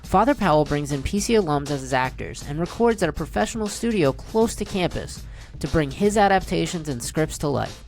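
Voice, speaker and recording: A woman's voice: deep voice, animated, brisk, a little mellow, soft background music. A woman reading a scripted introduction as a podcast host.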